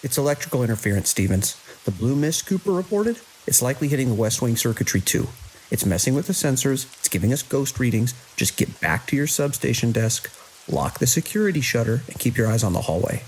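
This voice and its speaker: An actor playing a grim, commanding security chief in a horror audio drama, giving terse, urgent orders. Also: male voice, mid-range voice, very animated, brisk, close to an everyday voice, rough recording. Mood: firm, urgent. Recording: constant hiss and rain-like noise.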